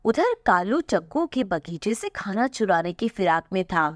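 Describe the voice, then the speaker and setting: A woman's voice: medium pitch, very animated, moderate pace, dry recording, studio quality. A woman narrating a scripted children's moral story.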